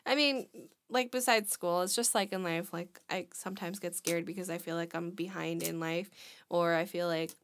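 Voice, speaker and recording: A woman in her twenties in casual chat on a podcast, talking in a rambling, halting way with many restarts. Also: on the low side, steady tone, a little hiss or hum. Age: 20s.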